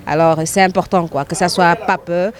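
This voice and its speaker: A woman's voice: on the low side, fairly even intonation, normal speed. A woman with a Senegalese accent talking off the cuff, switching between two languages and searching for her words. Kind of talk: spontaneous talk mixing two languages.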